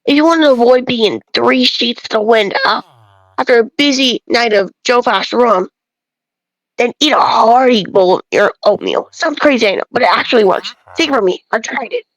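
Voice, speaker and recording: Woman playing an opinionated bread-obsessed man, high-pitched rambler. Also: normal speed, no echo, close to an everyday voice, rough recording. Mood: grumbling, rambling. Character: opinionated, folksy bread-obsessed male enthusiast, rambling and grumbling. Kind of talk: dramatic read-aloud of game dialogue.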